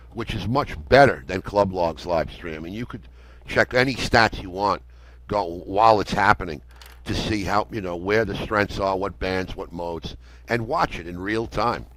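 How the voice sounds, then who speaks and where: A man's voice: lowish voice, a bit bright, low-quality recording. An older American man, telling a story conversationally as an interview guest. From United States. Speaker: interview guest, ham radio operator.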